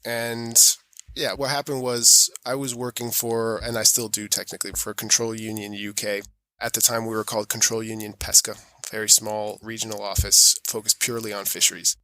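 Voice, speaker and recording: Bright, even-toned American man in conversation. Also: lowish voice, normal speed, no echo. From born in New York, raised mostly in Europe. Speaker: podcast guest, sustainability auditor. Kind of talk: conversational interview answers.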